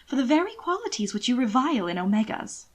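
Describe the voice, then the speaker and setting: A woman voicing a calm but defiant young gentleman with measured, pointed, formal speech in a story. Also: fairly high pitch. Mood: challenging. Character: calm, mildly defiant, sharp-witted young man.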